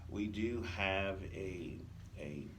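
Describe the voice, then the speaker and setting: A man speaking as a police officer in an interview, explaining things haltingly and often repeating words. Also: low voice, fairly bright tone. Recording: slightly distant mic, faint noise.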